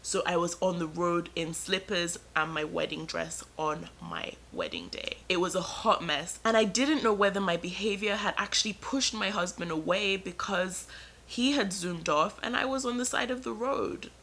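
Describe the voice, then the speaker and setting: Young woman vlogger, storytime narration, animated and dramatic, bright, slightly low voice. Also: moderate pace, gravelly.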